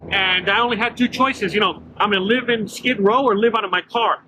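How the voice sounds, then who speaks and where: A man's voice: sits high, fairly quick, noisy background. A middle-aged man from Miami telling his story in a casual walk-and-talk interview, full of fillers and restarts, recorded outdoors on a city street. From Miami, Florida. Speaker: YouTuber guest.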